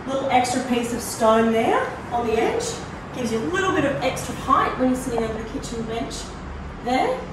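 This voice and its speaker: A middle-aged Australian woman giving a casual, conversational and enthusiastic walk-through tour as a presenter. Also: on the high side. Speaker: presenter of a prize home tour. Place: walking through hard-surfaced apartment rooms. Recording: roomy echo, distant mic, background noise.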